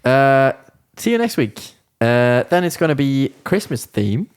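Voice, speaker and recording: A man's voice: mid-range voice, sing-song, unhurried, smooth. A man with a Norwegian accent hosting a casual podcast, joking and laughing with his guests. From Norway. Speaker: host.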